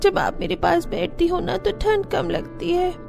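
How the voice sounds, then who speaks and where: Very high, sweet little girl voiced by a woman. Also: steady tone, measured pace, gravelly, a little mellow, soft background music, exaggerated voice. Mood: soft, pleading. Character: sweet sleepy little village girl, cold and pleading. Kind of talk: cartoon story dialogue.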